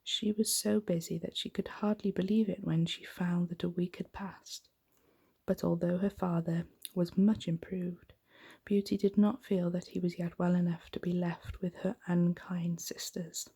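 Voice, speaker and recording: Hushed, breathy woman reading a bedtime story. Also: lowish voice, level tone, normal speed. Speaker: ASMR storyteller. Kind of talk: soft-spoken ASMR bedtime story reading.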